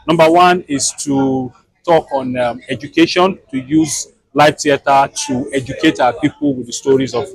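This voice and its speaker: A Nigerian man gives an explanatory interview in a husky, creaky voice of middling pitch. He lays out his points deliberately, recorded close and dry. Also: no echo, poor audio. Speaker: theatre project founder being interviewed.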